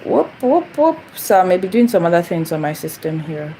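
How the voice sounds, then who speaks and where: A woman's voice: on the low side, very animated, normal speed, mellow, a little hiss or hum. A young woman from Nigeria hosting a casual phone livestream, rambling and repeating herself as she greets viewers. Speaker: channel host. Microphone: phone camera.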